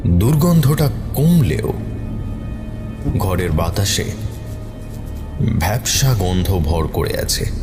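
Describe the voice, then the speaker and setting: A man reading a scripted horror story as its narrator. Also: sits low.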